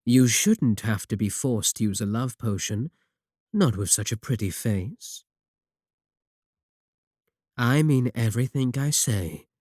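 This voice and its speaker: A man playing a courteous, manipulative warlock shopkeeper in a roleplay audio, coaxing and flattering his guest with polite, unhurried talk. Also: medium pitch, no room sound, clean studio sound. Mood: flirtatious, coaxing. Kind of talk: second-person roleplay audio acting.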